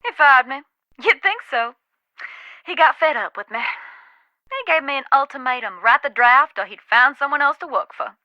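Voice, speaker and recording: A woman's voice: high-pitched, expressive, normal speed, dry recording, dull audio. An actress playing a wry, haunted Southern woman who knows about ghosts, with a folksy, dramatic, teasing manner in a video game. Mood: frank, knowing. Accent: American South.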